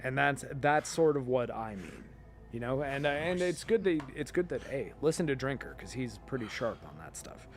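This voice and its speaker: A man hosting a video, giving casual, rambling film and TV commentary in an informal, emphatic way, often halting mid-thought. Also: medium pitch, animated. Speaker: video host.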